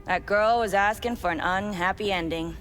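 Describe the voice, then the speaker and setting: Actress as a cool, condescending underworld manageress. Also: female voice, mid-range voice, normal speed, a bit bright, lightly acted. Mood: cold, dismissive. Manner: cool, dismissive, businesslike. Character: cold, pragmatic, condescending woman manager in a cyberpunk underworld. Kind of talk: video game voice acting.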